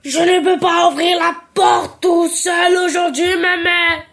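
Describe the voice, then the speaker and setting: A woman's voice: very high-pitched, flat delivery, slow, gravelly, a bit bright, soft background music, pitched above the performer's own voice, heavily acted. A woman voicing a sly male wolf who fakes a little girl's voice, drawling and wheedling.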